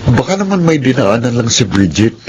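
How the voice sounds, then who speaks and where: A man's voice: mid-range voice, sing-song, fairly quick, noisy background, close to an everyday voice. An actor with a Filipino accent playing a concerned, sympathetic male friend in a radio drama.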